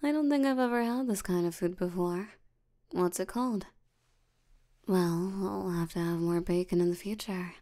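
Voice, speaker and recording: A woman playing a lazy, sleepy, ancient demon in an ASMR roleplay, drowsy and unbothered, with a soft tired voice. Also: fairly low pitch, natural voice. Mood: drowsy, nonchalant. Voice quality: soft, breathy, creaky tired voice. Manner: drowsy, slow, drawling, unbothered. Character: sleepy, lazy female sloth demon, ancient but youthful.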